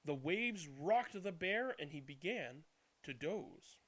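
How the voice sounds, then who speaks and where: A male storyteller with a slightly high voice reads a picture book aloud. His delivery swings widely in pitch and leans dramatic, stretching some words and hushing others. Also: animated, takes it slowly. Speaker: read-aloud storyteller and channel host. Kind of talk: expressive children's picture book read-aloud, then casual chat.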